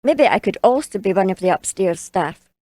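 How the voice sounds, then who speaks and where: A woman's voice: medium pitch, lively intonation, moderate pace, warm tone, no room sound, natural voice. A woman playing a simple, innocent eighteen-year-old cleaning girl in an audio drama, polite and naively curious. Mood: innocent curiosity.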